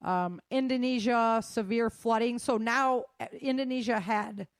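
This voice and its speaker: A woman's voice: on the high side, measured pace, no room sound, studio quality. A woman hosting a show, running through news in an informal, slangy way with chatty asides. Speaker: host of a survival news show.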